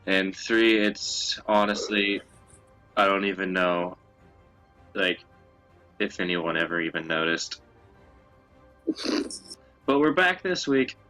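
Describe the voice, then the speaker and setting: A young man with a very low voice that has a bright, forward edge. He tells stories in a loose, casual co-host style and swears freely. Faint music plays behind him. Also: expressive, slow pace, pauses often, poor audio. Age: young adult. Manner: crude, profanity-laden. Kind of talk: casual chat, storytelling.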